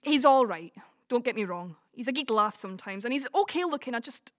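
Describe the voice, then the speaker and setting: A woman with a Scottish Dumfries accent acting in an audio play as a brash, gossipy, blunt friend with a soft side. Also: on the high side, lively intonation, brisk, natural voice. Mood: reluctant, frank. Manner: chatty, blunt, sweary. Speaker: community cast actor. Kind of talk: community audio drama, colloquial dialogue.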